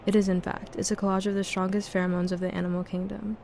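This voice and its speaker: A woman's voice: mid-range voice, level tone, fast talker, gravelly, darkish tone, a little hiss or hum, lightly acted. A woman playing a teasing, dreamy young sweetheart in an amateur radio drama.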